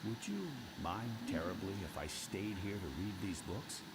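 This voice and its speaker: Genteel older bookworm in a game, formal n musing, deep but animated, loud background noise. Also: male voice, moderate pace. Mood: eager, polite. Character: courteous bookish older male scholar, eager and knowing. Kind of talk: video game voice acting.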